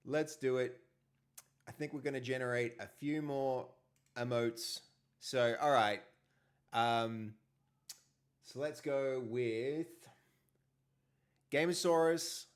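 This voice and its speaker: A man hosting a casual live stream, talking with a big, loud voice and rambling, repeating himself. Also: medium pitch, slow pace, long pauses.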